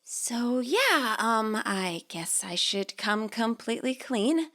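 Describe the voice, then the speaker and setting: A woman's voice: a little high, big pitch swings, takes it slowly, fairly bright tone, exaggerated voice. A woman voicing a flustered, awkward teenage girl gang leader, stammering and hesitant, in a dramatised fanfiction reading. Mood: sheepish.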